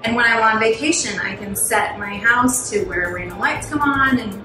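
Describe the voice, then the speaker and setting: A woman's voice: on the high side, normal speed. Upbeat, chatty woman in a roomy house. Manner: bright, upbeat and chatty, laughs often. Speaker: homeowner giving a testimonial. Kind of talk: casual interview chat. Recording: roomy sound with faint music.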